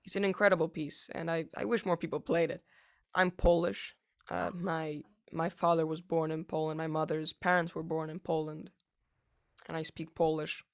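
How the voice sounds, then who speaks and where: A teenage boy answering interview questions about piano, halting, with many fillers and restarts. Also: a little high, little pitch movement, clean tone. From United States. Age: teens. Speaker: young pianist interviewee.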